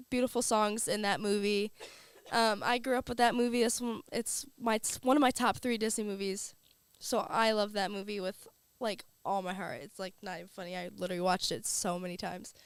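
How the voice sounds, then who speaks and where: A young woman hosts her radio show while battling a cold, talking in a loose, chatty way. Her voice sits fairly high and sounds smooth and clear. The studio sound is dry and close to the mic. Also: fairly bright tone, dry recording. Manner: chatty, uses filler words like "um" and "like". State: has a cold. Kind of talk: casual radio talk. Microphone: studio microphone.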